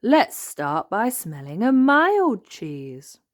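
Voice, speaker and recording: Woman as jolly, animated old grandpa rabbit. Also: medium pitch, very slow, voice pushed up. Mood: relishing.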